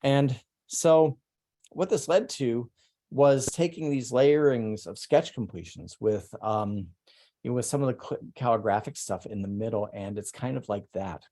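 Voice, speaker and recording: A middle-aged man presenting his artwork at an online salon, speaking haltingly with many ums and restarts. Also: medium pitch, dark tone. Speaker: presenting artist. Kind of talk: online salon artist talk.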